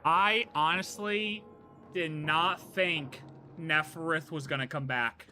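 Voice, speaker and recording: A man gives casual live playthrough commentary. His voice sits high for a man, and he talks in a blunt, baffled, reactive way. Game music plays clearly behind him. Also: slow, sharp tone. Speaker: game streamer.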